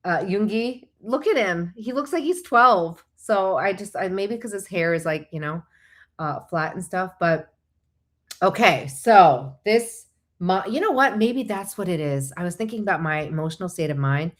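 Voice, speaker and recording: Chatty woman livestreamer, slightly low voice. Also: animated, moderate pace. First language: Korean. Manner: digressive, thinking aloud, replying to viewers mid-thought. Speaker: host of a live online show; licensed therapist. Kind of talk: casual chatty livestream talk.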